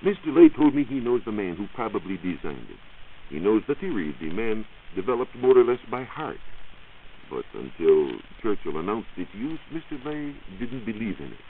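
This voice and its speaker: An American man giving a measured, explanatory science talk on 1940s radio as a reporter. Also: fairly low pitch, sing-song, phone-quality sound. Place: radio studio. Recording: hissy band-limited 1940s radio recording.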